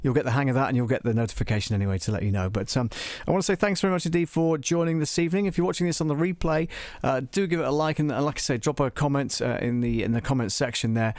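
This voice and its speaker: A man's voice: mid-range voice, racing, darkish tone, dry recording. A man hosting a live tutorial in a casual, rambling, self-deprecating way. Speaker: host of a live audio production tutorial.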